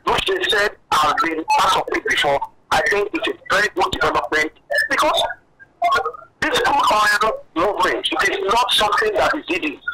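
Man, Nigerian accent, middle-aged, earnest analyst, phone line with hiss. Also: high-pitched. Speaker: business analyst guest. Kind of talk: phone-in news interview. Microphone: telephone line. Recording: noisy telephone line.

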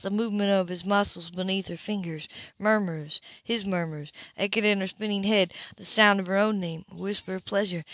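A dull, lo-fi and dry home recording of a woman reading a romance novel aloud. Her pitch is average for a woman. She reads as an amateur, sometimes tripping on a word and fixing it. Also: a bit bright, no echo. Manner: stumbles over words and corrects herself. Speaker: amateur audiobook reader.

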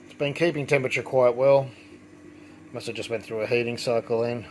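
Relaxed middle-aged man explaining as he works. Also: mid-range voice, a little fast, a little hiss or hum. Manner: relaxed, explanatory, thinking aloud while working. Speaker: home brewing presenter. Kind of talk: casual hands-on demonstration. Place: indoor home brewery.

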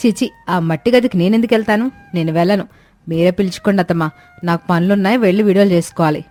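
A woman's voice: lowish voice, fast, a little mellow, faint music behind, lightly acted. Woman playing a haughty young rich daughter-in-law. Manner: haughty, sprinkles in English words. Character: vain, demanding rich young daughter-in-law, social-media obsessed. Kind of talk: animated moral-story voice acting.

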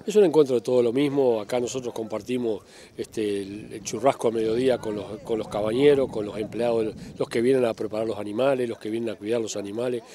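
Mellow-voiced older Uruguayan man. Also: medium pitch, steady tone, fast. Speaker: interviewee. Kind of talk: spoken interview answer. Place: outdoors at a showground. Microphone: handheld interview microphone.

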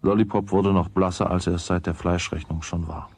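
A man with a husky voice reading scripted storybook narration in a radio play. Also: sits low, flat delivery, brisk, darkish tone, no echo, clean studio sound.

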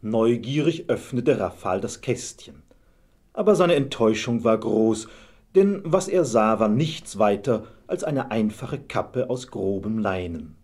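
A man's voice: lowish voice, animated, measured pace, a little mellow. A man narrating a scripted fairy tale as the storyteller.

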